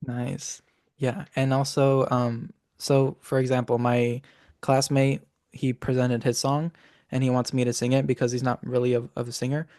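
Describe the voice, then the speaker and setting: A man's voice: mid-range voice, flat delivery, moderate pace, a little mellow, no room sound, studio quality. A young man hosting an interview, asking short, direct questions about singing in a casual chat. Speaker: interview host and student singer.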